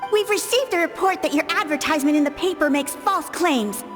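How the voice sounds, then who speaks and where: An actress playing a prim, by-the-book official in a video game, speaking in a formal, officious manner. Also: female voice, sits high, brisk, a bit bright. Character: prim, by-the-book female official enforcing regulations. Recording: reverberant, with music behind.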